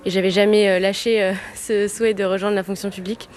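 A young woman from France answers a reporter's questions in a steady mid-range voice. She speaks earnestly and halts often on fillers while she looks for her words. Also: mid-range voice, fast, a little hiss or hum. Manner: earnest, many hesitant fillers. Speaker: civil service student. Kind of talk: interview answers.

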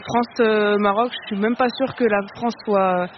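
A woman on a football show panel, talking in relaxed casual chat with many fillers. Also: medium pitch, unhurried. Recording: muffled stream with faint noise.

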